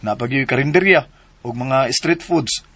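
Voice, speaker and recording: An actor in a radio drama playing a young, easygoing, clingy band musician. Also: male voice, mid-range voice, unhurried, bright, forceful tone. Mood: casual, clingy.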